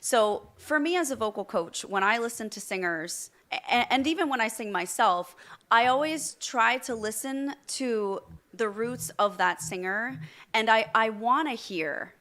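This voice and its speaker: A woman's voice: fairly high pitch, animated, measured pace, sharp tone. Enthusiastic woman vocal coach interviewing. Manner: enthusiastic, analytical explaining. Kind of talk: interview questions and commentary.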